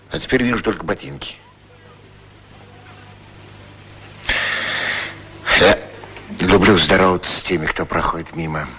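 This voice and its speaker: An actor playing a gentle, musing shoe shiner in a radio play, talking haltingly and repeating his words to himself. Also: sits low, slightly rough, low-quality recording. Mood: reflective. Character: gentle, musing male shoe shiner who chats with shoes. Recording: 1960s radio drama recording.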